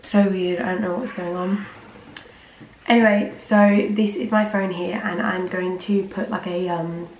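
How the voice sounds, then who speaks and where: A teenage girl, slightly croaky from a cold, rambling casually to camera with lots of fillers. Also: on the low side, steady tone, unhurried. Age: teens. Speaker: vlogger. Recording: roomy with faint ambient noise.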